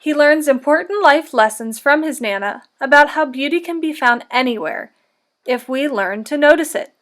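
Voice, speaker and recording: A woman teacher giving an interactive storybook read-aloud for children, speaking in an instructive, deliberate way. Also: high-pitched, expressive, fairly bright tone.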